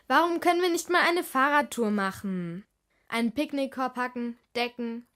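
Cheeky high-voiced young girl. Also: sharp tone, no room sound, studio quality. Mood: pleading. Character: lively, cheeky girl detective who loves cycling. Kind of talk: children's radio play narration and dialogue.

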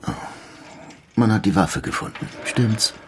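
An actor playing a weary, sardonic chief police inspector in a crime radio play. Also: male voice, lowish voice, expressive, measured pace, slight background noise. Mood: dry. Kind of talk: German radio drama acting. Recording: music behind the voice.

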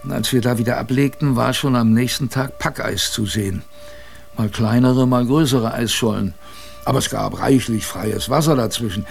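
A man's voice: medium pitch, moderate pace, creaky, faint music behind, close to an everyday voice. An actor playing a former ship's boy who narrates his memories in a radio drama, conversational, vivid and self-mocking. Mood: wry, chatty. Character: reminiscing former ship's boy, a wry male storyteller.

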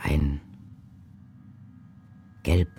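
A man reciting poetry in a measured, incantatory, deliberate way for a radio drama. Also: a little hiss or hum. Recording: music behind the voice. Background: loud music.

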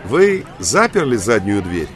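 An actor playing a young engineer, a troubled and emphatic master of a wolf, in an audio drama. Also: male voice, lowish voice, big pitch swings, unhurried, fairly bright tone. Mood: urgent. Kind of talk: Russian audio drama acting. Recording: faint vehicle and ambience noise.

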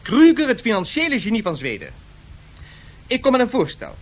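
A high, animated young business envoy. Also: male voice, normal speed, full character voice. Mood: eager, persuasive. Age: young adult. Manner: eager, theatrical. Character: eager young male financier's envoy, smooth salesman. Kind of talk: satirical radio drama acting.

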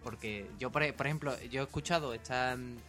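A Spanish man hosts a podcast in a loose, rambling manner, talking straight to his listeners. Soft music sits faintly behind him. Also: medium pitch, fairly quick. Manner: rambling, chatty, asks listeners for feedback. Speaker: podcast host. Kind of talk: casual chat.

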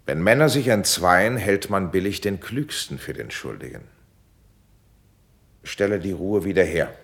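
Calm commanding prince, low voice, courtly measured verse, classical radio drama. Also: male voice, exaggerated voice. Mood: calmly commanding. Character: calm, authoritative prince, fatherly mediator of quarrels.

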